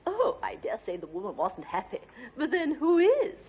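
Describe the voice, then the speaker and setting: An actress playing a gossipy, disapproving neighbour in a 1950s radio drama, chatty and self-important. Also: female voice, high-pitched, huge pitch swings, normal speed. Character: gossipy, disapproving woman neighbour giving a witness statement. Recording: muffled old radio recording, faint music.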